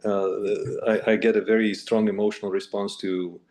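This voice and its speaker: Low-voiced man. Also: little pitch movement, normal speed, a little mellow. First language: Russian. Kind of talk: casual group discussion.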